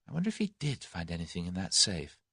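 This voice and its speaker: A man's voice: low-pitched, huge pitch swings, quick pace, sharp tone, husky, no echo, exaggerated voice. A man voicing a plucky, proud young English woman in an audiobook, earnest and exclamatory. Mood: rueful. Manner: earnest, exclamatory, self-scolding. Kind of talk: audiobook character voice.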